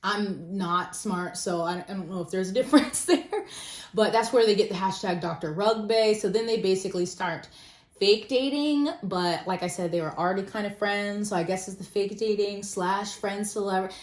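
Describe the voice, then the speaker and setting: A woman's voice: mid-range voice, moderate pace. A woman giving a casual, self-deprecating book review in an informal, conversational way. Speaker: book vlogger. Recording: roomy echo.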